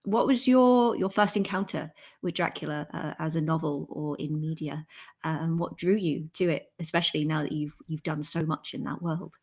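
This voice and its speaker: A woman's voice: low voice, moderate pace, darkish tone. A woman hosting an interview, asking questions in a conversational style. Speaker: interviewer, host.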